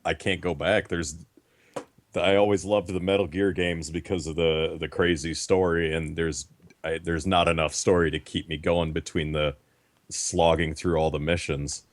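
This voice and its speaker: A man's voice: low-pitched, level tone, normal speed. A man calling in to a show and chatting casually. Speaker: caller. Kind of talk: casual chat. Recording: noisy voice-chat line with clicks.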